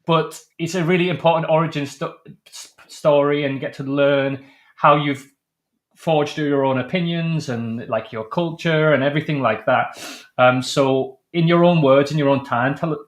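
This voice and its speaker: Male host, fairly high pitch, friendly, stumbles through his questions. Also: normal speed. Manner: friendly, rambling questions with many restarts. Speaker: podcast host. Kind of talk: casual interview.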